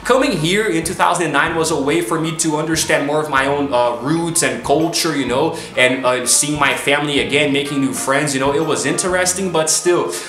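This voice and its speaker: A man's voice: a little high, a little fast, hardly any pauses, fairly bright tone, reverberant, faint music behind. A man in his twenties talking casually to camera as a YouTuber, rambling and leaning on "you know" between thoughts. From United States. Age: 20s.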